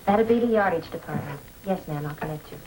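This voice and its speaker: A woman's voice: sits low, fairly quick, a little mellow, natural voice, rough recording. A woman playing a polite, efficient department store operator, businesslike, in 1950s radio acting style. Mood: brisk, courteous. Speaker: supporting actor in a police radio drama. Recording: old radio recording with faint noise.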